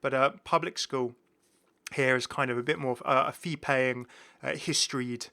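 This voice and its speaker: A British man hosts a gaming video in a bright, forward voice at a middling pitch. He talks casually and keenly, rambling through his points and often rewording them midway. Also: steady tone, moderate pace, vocal fry, clean tone. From United Kingdom. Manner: rambling, self-correcting, enthusiastic. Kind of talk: casual game commentary.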